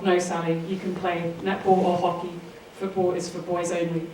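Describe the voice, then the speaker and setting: A woman in her forties with a southern English accent, giving earnest, articulate answers as an interview guest. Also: lowish voice. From England. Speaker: interview guest, sports author. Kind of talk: interview answers, personal storytelling. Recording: roomy echo, distant mic, faint noise.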